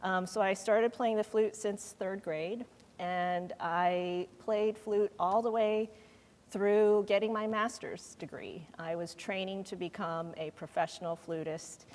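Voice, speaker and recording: A woman's voice: medium pitch, fairly even intonation. Giggly woman with fillers, hall reverb. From Taiwan. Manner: warm, giggly, halting with fillers. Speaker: music nonprofit chief executive. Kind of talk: panel conversation.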